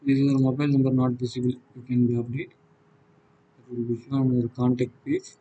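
A man with a South Indian accent and a husky voice, giving an unscripted software walkthrough in halting, non-fluent phrases. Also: medium pitch, completely flat delivery, highs cut off. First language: Tamil. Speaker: software demonstrator. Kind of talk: unscripted screen-recorded tutorial walkthrough.

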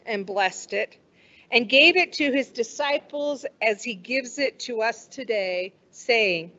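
A middle-aged woman pastor leading communion in a church sanctuary, speaking in a measured, solemn liturgical manner. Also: fairly high pitch, lo-fi sound. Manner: measured, warm liturgical delivery with slow solemn phrases. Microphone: stand mic at a lectern, far camera.

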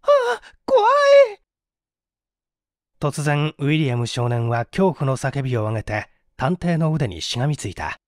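A man's voice: medium pitch, expressive, normal speed, few pauses, a little mellow, no room sound, clean studio sound. A man reading a mystery story as an audiobook narrator, in a formal, theatrical style.

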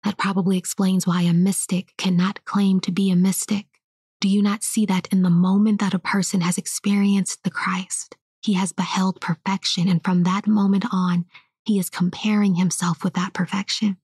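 A woman whispering a slow guided meditation and spiritual teaching in a soft, hushed voice. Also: mid-range voice, flat delivery, breathy edge, dry recording, studio quality.

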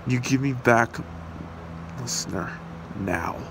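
A devoted, earnest young hero from a fan-made ASMR boyfriend roleplay voiced by a man, fry in the voice, room hum underneath. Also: medium pitch, takes it slowly. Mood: confrontational. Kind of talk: amateur ASMR roleplay acting. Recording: steady hiss and hum behind voice.

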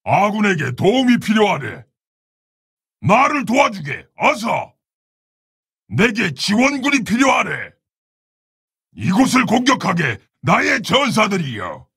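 An actor playing a proud, honorable orc warrior shaman in a video game, speaking in a gravelly, husky, solemn and commanding way. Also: high voice, huge pitch swings, takes it slowly, long pauses, sharp tone, no room sound, clean studio sound, full character voice. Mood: urgent battle commands. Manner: solemn, commanding, archaic formal phrasing. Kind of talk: video game character battle lines.

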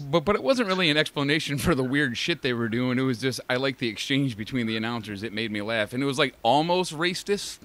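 A man's voice: mid-range voice, brisk, fairly bright tone. A man co-hosting a podcast over an online call, talking in a loose, profane way and laughing often. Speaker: podcast co-host. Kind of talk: casual wrestling watch-along chat.

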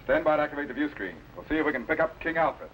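An actor playing a commanding, earnest scientist captain in a 1950s TV serial, speaking in a clipped manner. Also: male voice, fairly high pitch, very fast, fairly bright tone, lightly acted. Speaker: time-travelling scientist captain. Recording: noisy old 1950s TV film soundtrack.

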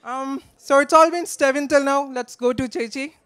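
A man gives a joking, affectionate, slightly halting wedding toast from notes into a handheld microphone. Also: very high voice, steady tone, fairly bright tone, a little hiss or hum. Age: 20s. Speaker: best man, groom's brother. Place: wedding reception in a large draped marquee.

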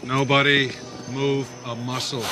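An actor playing a stern, weary middle-aged scientist in a game adventure, speaking bluntly and with authority. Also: male voice, medium pitch, little pitch movement, a little slow, very noisy, natural voice. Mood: grave. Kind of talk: dramatic dialogue in a game cutscene.

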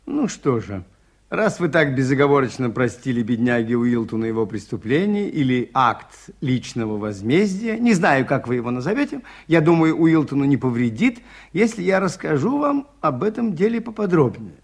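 A calm, shrewd priest who investigates crimes in an old radio play. He speaks at a middle pitch, measured and polite, and holds his ground with quiet insistence. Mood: measured, probing. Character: shrewd, calm, persistent male priest detective. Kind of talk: 1980s Soviet radio drama acting.

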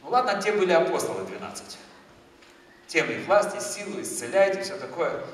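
Moldovan-accented preacher in an echoing hall. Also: male voice, fairly high pitch, big pitch swings, brisk. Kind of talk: lively charismatic sermon. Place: large church hall with a stage. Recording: echoey church hall, far from the mic.

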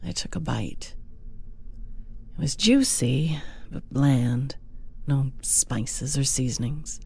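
A woman with a soft, husky voice narrating a scripted story in the first person. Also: extremely low pitch, animated, takes it slowly. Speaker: audiobook narrator.

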